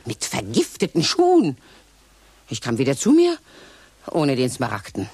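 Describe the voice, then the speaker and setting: An actress playing an eccentric, scheming witch who mutters over her potions in a radio play, her voice lurching from low grumbles to shrill highs. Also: wildly swooping pitch, a little slow, mellow, husky, no room sound, exaggerated voice. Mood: rattled. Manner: muttering, swooping wildly in pitch, cackling.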